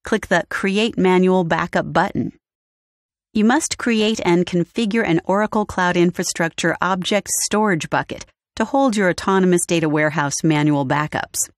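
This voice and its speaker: A woman narrating a scripted step-by-step software walkthrough in a clear, even instructional manner. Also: on the low side, moderate pace, no echo. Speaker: tutorial narrator. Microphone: studio voice-over.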